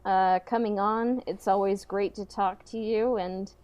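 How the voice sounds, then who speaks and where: Slow-talking woman co-hosting a podcast. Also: medium pitch, steady tone, a little mellow, dry recording. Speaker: podcast co-host. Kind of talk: casual chat.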